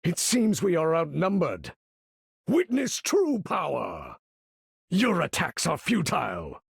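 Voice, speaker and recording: An older, imperious supervillain for a video game. His voice is gravelly and husky, swinging dramatically as he issues grand, formal battle commands with pompous weight. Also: a little high, huge pitch swings, slow, no echo, clean studio sound, full character voice. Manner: pompous, theatrical, formal diction.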